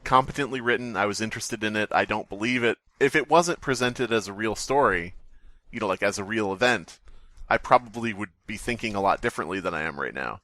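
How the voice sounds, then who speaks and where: A man with a fairly low but bright voice talks casually as a podcast co-host. The sound is dry and close. He feels his way through his points, hedging as he goes. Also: brisk, dry recording. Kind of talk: casual panel discussion.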